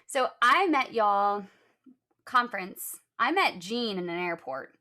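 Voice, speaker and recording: A woman hosting a music education podcast, upbeat and quick to laugh. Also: mid-range voice, big pitch swings. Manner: warm, upbeat, laughs easily. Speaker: podcast host. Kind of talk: podcast intro and interview.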